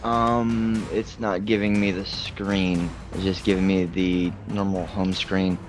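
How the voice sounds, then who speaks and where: A man's voice: low voice, level tone, slow, soft background music. A man talking casually as a player in an online tabletop game.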